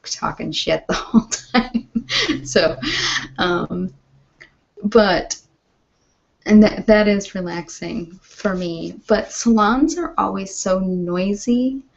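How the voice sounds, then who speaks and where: A woman's voice: medium pitch, takes it slowly, creaky, long pauses. A woman chatting casually in a rambling, informal way as an ASMR host. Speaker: ASMR host and massage therapist. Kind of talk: casual live chat. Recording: dull, lo-fi sound.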